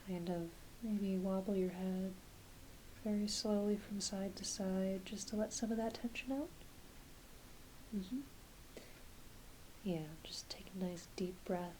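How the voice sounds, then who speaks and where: A woman performing a soft-spoken, often whispered ASMR roleplay as a doctor, talking gently and reassuringly to a patient with some hesitancy. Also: medium pitch, rough recording. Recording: clear background hiss.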